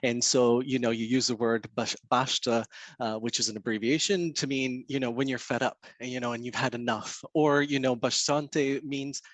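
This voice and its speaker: A man's voice: mid-range voice, fairly even intonation, normal speed, dry recording. A Canadian man whose first language is Portuguese, a financial counselor speaking as a guest, earnest and thinking aloud with lots of fillers. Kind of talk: guest in an interview.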